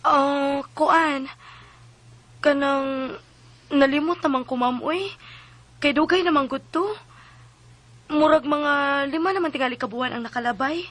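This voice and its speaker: High-voiced young pregnant wife. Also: female voice, measured pace, pauses often, fairly bright tone. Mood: hesitant, unsure. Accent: Cebuano Bisaya. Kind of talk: Bisaya radio drama acting.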